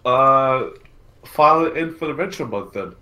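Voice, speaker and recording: A man's voice: medium pitch, lively intonation, normal speed, pushed and bright. A man co-hosting a casual live stream podcast, speaking a little hesitantly and often repeating himself. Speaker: podcast co-host.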